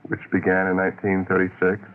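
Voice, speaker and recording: An American man interviews in a very low voice, informal and chatty. He rambles and hesitates as he reminisces. The recording is thin and phone-like, with faint noise. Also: completely flat delivery, fast talker. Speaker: radio interviewer, host. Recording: noisy, phone-like old radio recording.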